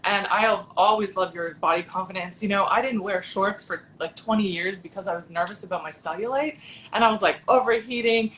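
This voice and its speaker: A woman's voice: medium pitch, brisk, a bit bright, slight background noise, low-quality recording. A woman hosting a casual live-stream interview, chatty and gushing, laughing often. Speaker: host.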